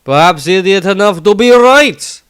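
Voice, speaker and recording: A man voicing a gruff, blustering warrior who speaks in clipped, broken foreign grammar for a fantasy story. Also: sits high, lively intonation, moderate pace, pitched above the performer's own voice. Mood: indignant, blustering. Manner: clipped broken grammar, loud indignant declaiming. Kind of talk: fantasy audio story diary.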